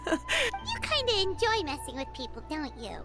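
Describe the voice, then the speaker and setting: A woman voicing a tiny, excitable, childlike fairy companion in a video game, squeaky and exclaiming. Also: normal speed. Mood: chatty. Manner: squeaky, exclaiming, speaks of herself in third person. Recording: game music behind the voice.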